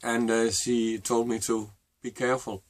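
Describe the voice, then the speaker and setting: A middle-aged man with a Danish accent, a native Danish speaker, telling a personal story to camera in a halting, reflective way. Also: mid-range voice, level tone, no echo. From Denmark. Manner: halting and reflective, with many fillers and restarts. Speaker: vlogger.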